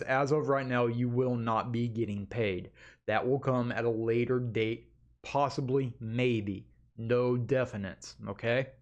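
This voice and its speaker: A man with a mid-pitched voice talks in a casual vlog style. He explains things informally and directly to his listeners, with a folksy, conversational way of putting them. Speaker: amateur animation creator hosting an update video.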